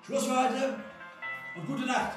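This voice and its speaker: A man's voice: very high-pitched, slow pace. An actor playing a bitter, disillusioned journalist in a radio drama, sardonic and rhetorical in his despair. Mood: agitated, defiant. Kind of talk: radio drama, declamatory speech. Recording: roomy echo, music behind.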